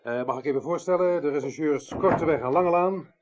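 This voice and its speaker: Acteur als zakelijke speurder die de leiding heeft. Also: male voice, a little high, fairly quick, crowd noise, lightly acted. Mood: businesslike, welcoming. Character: businesslike male lead investigator, in command, briefing his team. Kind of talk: 1980s Dutch radio play acting.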